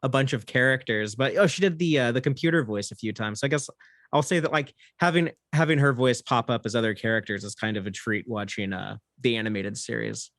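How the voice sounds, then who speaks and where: Mid-pitched man chatting, very dry sound. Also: a little fast, fairly bright tone, no echo. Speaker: podcast guest. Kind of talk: casual fan discussion.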